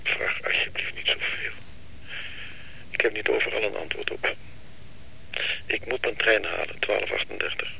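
An actor playing a troubled, evasive man who haltingly and wearily pleads for time in a radio drama. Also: fairly low pitch, gravelly, pushed and bright, full character voice. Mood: weary, pleading. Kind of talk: Dutch radio drama acting. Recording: noisy radio play recording, telephone scene.

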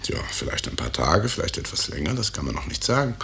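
Gravelly, deep-voiced senior surgeon. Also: male voice, big pitch swings, fast, pushed and bright, exaggerated voice. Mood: offhand, patronising. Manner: offhand, patronising. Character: gruff senior surgeon, a professor, brusquely reassuring. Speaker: actor. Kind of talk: 1950s radio drama acting. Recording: noisy old 1950s radio recording.

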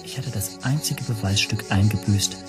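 Actor as low-voiced, tense radio-play narrator. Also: male voice, moderate pace, over music, lightly acted. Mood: alarmed, dejected. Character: tense, self-doubting male refugee telling his own story. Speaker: first-person narrator.

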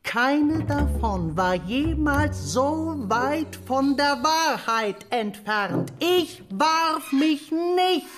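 An actress playing a proud, seductive, aggrieved Satan in a radio play, speaking in a theatrical, deliberate way. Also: female voice, high-pitched, expressive, full character voice. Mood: aggrieved, defiant. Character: Satan, proud, aggrieved, seductive storyteller addressing a coffeehouse crowd. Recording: music behind the voice.

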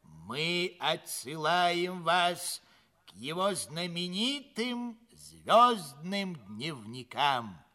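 Radio play announcer: slightly high, very animated intonation, genial mock-solemn presentation. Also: male voice, very slow, exaggerated voice. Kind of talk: theatrical scripted radio narration.

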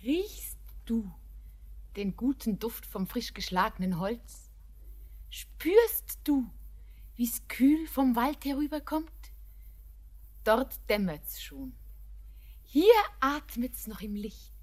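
High-voiced, dreamy young granddaughter. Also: female voice, big pitch swings, takes it slowly, pauses often, darkish tone, full character voice. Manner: dreamy, lyrical. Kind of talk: poetic German radio play acting.

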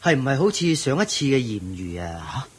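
A man's voice: mid-range voice, very animated, moderate pace, breathy edge. A man playing a teasing, gossipy royal court minister in a radio drama. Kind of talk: Buddhist radio drama acting.

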